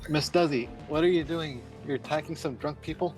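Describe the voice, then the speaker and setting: A man playing a tabletop game, talking in a low-key, terse and casual way. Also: a little fast, a little mellow, soft background music.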